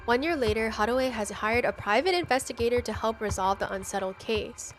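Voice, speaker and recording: Higher-voiced excitable woman streaming a game. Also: brisk, noisy background. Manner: excitable, slangy exclamations. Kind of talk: casual game-stream chat mixed with reading game text aloud.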